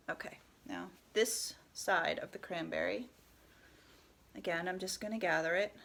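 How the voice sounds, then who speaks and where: Slow, soft-spoken woman with a stuffy nose. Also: fairly low pitch, gravelly. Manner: calm, unhurried, often drops to a soft whisper. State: stuffy from a cold. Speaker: head wrap tutorial host. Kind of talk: step-by-step tutorial.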